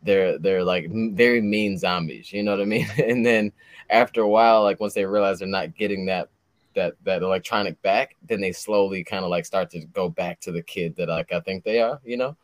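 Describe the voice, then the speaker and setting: Brief: 30s male podcast host, low voice, loose and chatty, dry close sound. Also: steady tone, fairly quick, no echo. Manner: rambling, heavy on fillers like "like" and "you know". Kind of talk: casual interview chat.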